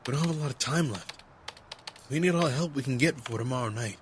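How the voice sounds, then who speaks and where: A man's voice: medium pitch, fast, a little hiss or hum, close to an everyday voice. A man acting in an audio drama as a practical, plain-spoken member of a vigilante team. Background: music.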